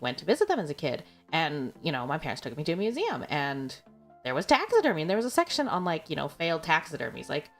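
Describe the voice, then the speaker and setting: Giggly, chatty streamer woman, lively pitch. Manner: chatty, giggly, rambling with fillers. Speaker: VTuber streamer. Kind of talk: casual livestream chat.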